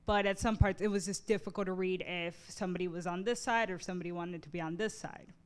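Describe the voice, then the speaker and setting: Smooth-voiced woman giving casual feedback. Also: on the low side, fairly even intonation, fast talker. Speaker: audience member giving feedback.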